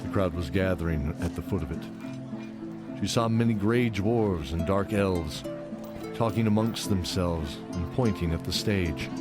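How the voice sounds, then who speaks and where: A man's voice: low-pitched, unhurried, loud music behind the voice. A man giving scripted, dramatic narration for a fantasy audio drama.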